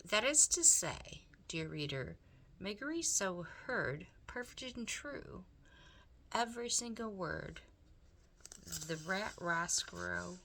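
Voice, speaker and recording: Expressive, unhurried woman reading a storybook. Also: on the low side, creaky. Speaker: teacher reading aloud.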